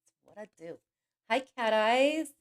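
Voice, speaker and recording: A woman hosting a livestream with a scratchy, hoarse voice from a cold, chatting casually and greeting each viewer by name. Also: a little high, animated, measured pace. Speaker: livestream host.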